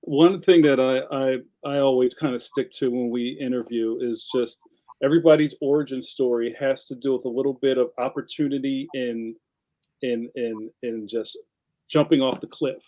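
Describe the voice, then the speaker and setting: A man's voice: mid-range voice, steady tone, normal speed, mellow, poor audio. A man interviewing a guest in a casual, conversational podcast style.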